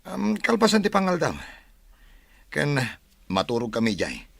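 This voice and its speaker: A man's voice: fairly high pitch, sing-song, unhurried, gravelly, fairly bright tone, no room sound, exaggerated voice. A man acting as an evasive, defensive husband and son in an audio drama, brushing off questions with exasperation.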